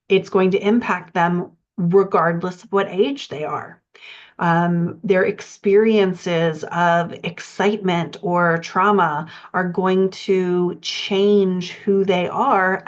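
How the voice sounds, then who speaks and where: Lower-pitched woman coach explaining methodically. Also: muffled recording. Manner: measured, methodical, enumerates points. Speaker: writing coach. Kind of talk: instructional explanation.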